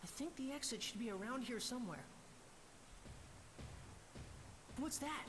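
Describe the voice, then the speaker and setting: A woman's voice: a little high, expressive, fast, noisy background, natural voice. A woman voicing a plucky, earnest young boy hero in a video game cutscene. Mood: curious, wary. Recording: long reverb, distant, music underneath. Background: video game music.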